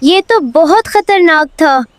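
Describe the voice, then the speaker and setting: A woman's voice: very high voice, animated, slow pace, no echo, full character voice. A woman voicing a timid, wide-eyed child in a children's cartoon adventure story. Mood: frightened.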